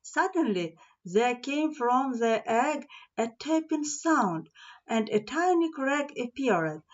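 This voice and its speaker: Lo-fi audio; female children's audiobook narrator, high-pitched, expressive, deliberate. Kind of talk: scripted children's storytelling.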